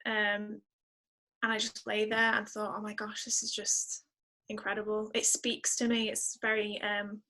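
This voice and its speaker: A woman's voice: mid-range voice, completely flat delivery, measured pace, bright, forceful tone, low-quality recording. A woman talking casually as an interview guest, reflective and enthusiastic about music. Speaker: guest singer-songwriter.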